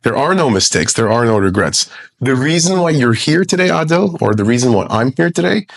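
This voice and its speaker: A man's voice: mid-range voice, sing-song, a little fast, creaky. A man in his forties from Lebanon, a guest investor giving blunt, profane, emphatic advice in a casual podcast interview. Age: 40s.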